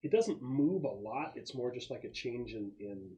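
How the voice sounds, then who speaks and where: A man running a tabletop game as game master, describing scenes off the cuff and pausing as he searches for words. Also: medium pitch, animated, dark tone, poor audio. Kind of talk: improvised tabletop game narration.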